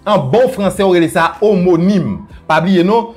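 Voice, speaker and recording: A playful man with a Haitian Creole accent runs a video lesson, his voice sitting a little high for a man. He sinks into low murmured asides and leaps upward to mimic people talking. Faint music plays behind him. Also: expressive, normal speed, darkish tone. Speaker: language teacher.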